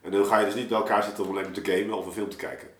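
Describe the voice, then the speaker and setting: Low-pitched man chatting in an echoey room. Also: little pitch movement, racing. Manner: informal, slangy, meandering. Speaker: artist, podcast guest. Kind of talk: casual reflective group conversation.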